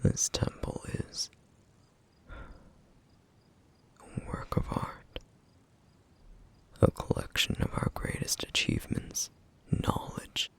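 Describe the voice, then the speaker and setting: A man playing a gentle, duty-bound flame demon in an ASMR roleplay, speaking softly in slow, formal, wistful phrases with a rough, breathy voice. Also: natural voice. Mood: reverent. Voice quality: breathy, whispery, rough, gravelly. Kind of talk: ASMR roleplay, intimate soft-spoken monologue.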